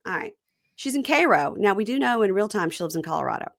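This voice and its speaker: A woman's voice: mid-range voice, fast talker, vocal fry, dry recording, clean studio sound. Chatty Southern woman from Mississippi. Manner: chatty and animated, jumping between tangents. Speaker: podcast host. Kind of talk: casual reality TV recap chat.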